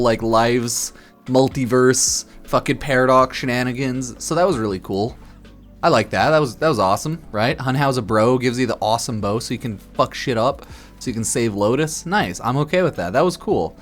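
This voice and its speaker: Blunt, tipsy man rambling over music. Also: mid-range voice. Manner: blunt, profane, opinionated. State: a bit drunk. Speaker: game streamer giving a story review. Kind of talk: casual, rambling stream commentary. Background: music under the voice.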